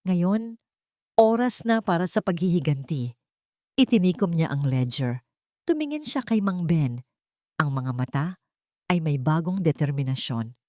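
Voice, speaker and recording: A woman narrates a scripted dramatic story. Her voice sits low, and the recording is dry and close, with no room sound. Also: animated, a little slow, pauses often, warm tone, no echo.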